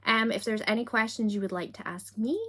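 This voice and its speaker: A young Scottish woman with a softened accent, chatting casually to camera in a self-deprecating, giggly way. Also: on the high side, steady tone, brisk. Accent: Scottish, softened toward standard British. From Scotland. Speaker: YouTuber.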